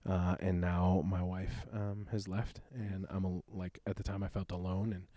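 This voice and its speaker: A man in his thirties, a youth pastor, shares his personal testimony in an earnest, reflective, halting way. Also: deep voice, flat delivery, dark tone, no echo. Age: 30s.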